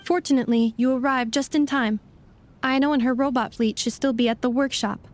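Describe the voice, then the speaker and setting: A woman's voice: high-pitched, steady tone, fairly quick, natural voice. A woman voicing a polite, analytical female robot in a video game, speaking formally and precisely. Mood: grave, matter-of-fact. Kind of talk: video game cutscene acting.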